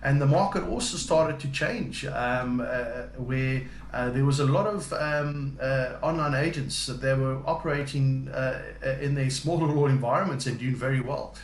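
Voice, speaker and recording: South African man, halting interview answers, echoey room with light hiss. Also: medium pitch. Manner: hesitant, many ums and uhs. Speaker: business development and account manager.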